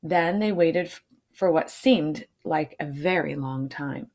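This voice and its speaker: A woman's voice: sits low, takes it slowly, a little mellow. A woman reading a children's storybook aloud, adding informal asides and checking in with her listeners. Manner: checks in with listeners using questions.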